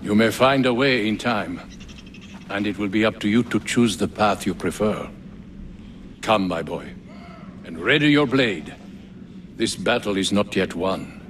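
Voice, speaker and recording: Casting: an old fatherly mentor in a game, slightly low register, solemn delivery. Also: measured pace, pauses often, a bit bright, slight background noise. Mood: resolute. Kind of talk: dramatic game dialogue.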